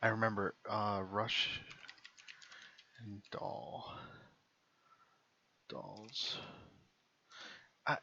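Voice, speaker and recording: A man co-hosting a podcast in casual, excitable comedic banter, often breaking into put-on voices. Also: fairly low pitch, very slow, gravelly, long pauses, echoey room. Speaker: podcast co-host. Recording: dull lo-fi microphone.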